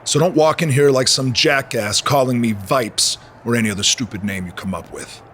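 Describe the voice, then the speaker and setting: An actor playing an irritated, menacing wrestling boss in a video game cutscene, speaking curtly. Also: male voice, mid-range voice, normal speed, a bit bright, a little hiss or hum, natural voice. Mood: stern, irritated. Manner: curt, threatening.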